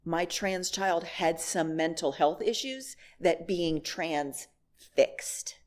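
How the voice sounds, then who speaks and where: A woman's voice: fairly low pitch, slow pace. A middle-aged woman giving a candid, wry personal talk to a congregation, telling stories and acting out bits of dialogue. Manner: laughs as she talks. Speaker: guest speaker at a church service.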